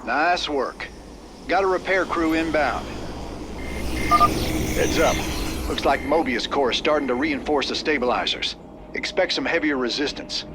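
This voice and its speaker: An actor playing a gruff, weary middle-aged frontier commander with a folksy Southern American drawl in a video game. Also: male voice, on the high side, unhurried, pauses often, noisy background, close to an everyday voice. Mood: urgent, gruff. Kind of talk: video game cinematic and mission dialogue acting.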